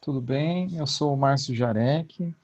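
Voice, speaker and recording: A man's voice: mid-range voice, a little slow. A man from Curitiba, southern Brazil, with a Curitiba accent, a philosophy professor introducing himself casually on a video call, with frequent "né" tags. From Curitiba, Paraná, Brazil. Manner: relaxed, frequent "né" tags, light laughs. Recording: dull lo-fi video call audio.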